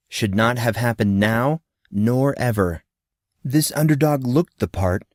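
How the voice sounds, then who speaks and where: A man narrates a podcast novel in a measured, storytelling way. His voice is medium in pitch, and the recording is dry and close. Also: darkish tone, no echo. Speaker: audiobook narrator and podcast host. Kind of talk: scripted fiction narration.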